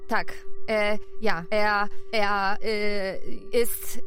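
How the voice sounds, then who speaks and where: A woman's voice: mid-range voice, level tone, drawn-out words, fairly bright tone. A woman playing a panicked Polish carer in a radio drama, searching for German words in a Polish accent. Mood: panicked, halting. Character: panicked Polish live-in carer making an emergency call. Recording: music behind the voice.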